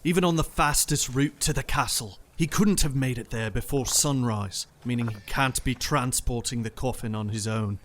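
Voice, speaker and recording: An actor playing a sharp-minded male vampire hunter who reasons emphatically in an audio drama. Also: medium pitch, normal speed, few pauses, slight background noise, natural voice. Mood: reasoning. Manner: analytical and emphatic.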